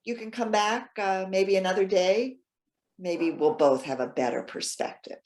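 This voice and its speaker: A woman playing a lonely, proud elderly lady in a stage reading, halting and questioning with wounded politeness. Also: medium pitch, a little mellow, natural voice. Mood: politely cool. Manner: halting, stammering, emphatic questioning. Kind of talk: readers theater stage acting.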